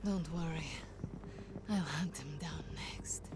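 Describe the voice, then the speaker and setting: A woman's voice: low voice, takes it slowly, very noisy. An actress playing a fierce, vengeful and determined Soviet woman sniper in a video game, intense and defiant. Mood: cold, vengeful. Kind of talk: dramatic video game acting. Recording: loud music behind the voice.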